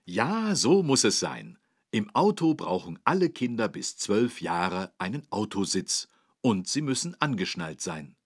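A man with a low, husky voice narrates for young children. His delivery is lively and encouraging, carefully phrased, and recorded in a dry studio. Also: a little slow, no room sound. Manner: clearly phrased, encouraging, animated. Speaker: narrator of a children's learning game.

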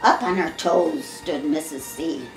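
Old american grandma reading a christmas poem, takes her time, lowish voice, echoey room. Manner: measured, expressive storybook reading with occasional stumbles. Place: living room at home. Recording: roomy home recording with music behind.

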